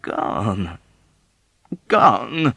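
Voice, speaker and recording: A man's voice: a little high, very animated, husky, no room sound, voice pushed up, exaggerated voice. A man voicing a wailing grieving old woman. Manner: slow mournful wail. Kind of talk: audiobook reading.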